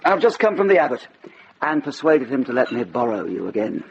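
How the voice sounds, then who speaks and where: An actor playing a wry, familiar friend with dry humour in a period radio drama. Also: male voice, mid-range voice, sing-song, brisk, gravelly, slight background noise. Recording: muffled radio drama, loud background ambience.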